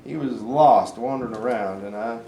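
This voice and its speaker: A man reading the part of a gruff but fair farmer turned Confederate sergeant, plainspoken and folksy, in an amateur stage play. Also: medium pitch, normal speed, mellow, close to an everyday voice. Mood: firm, fair. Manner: folksy, plainspoken, hesitant phrasing. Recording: roomy, distant, faint background noise.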